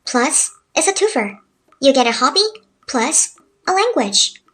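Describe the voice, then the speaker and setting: A woman in her thirties from South Korea, speaking English with a Korean accent, calmly narrating a chill, laid-back talk as a language tutor. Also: high voice. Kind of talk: relaxed scripted narration over drawings. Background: faint music.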